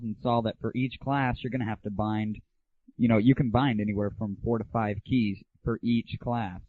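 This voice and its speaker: A man's voice: fairly low pitch, dry recording. A man hosting a gaming audio show, talking casually with a blunt, irreverent, opinionated manner. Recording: muffled, phone-like lo-fi 1990s internet audio.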